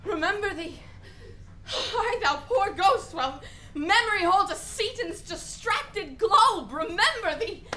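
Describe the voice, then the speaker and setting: A woman's voice: extremely high pitch, expressive, measured pace, background noise. A woman playing an anguished, impulsive young prince and grieving son in a Shakespeare radio play, with theatrical exclamations. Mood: grieving, bitter.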